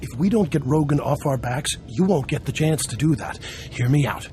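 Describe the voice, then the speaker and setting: An actor playing a jovial, scheming smuggler boss in a video game, gravelly and persuasive. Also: male voice, fairly high pitch, lively intonation, moderate pace, warm tone, slight background noise, lightly acted. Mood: upbeat persuading. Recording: faint music behind the voice.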